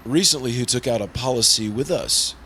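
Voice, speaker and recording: A man's voice: medium pitch, fairly quick, bright, forceful tone, slight background noise. A man playing a prim, earnest, easily flustered insurance broker in old-time radio drama style. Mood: worried, explanatory. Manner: prim, formal, exasperated. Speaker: supporting actor in a radio play.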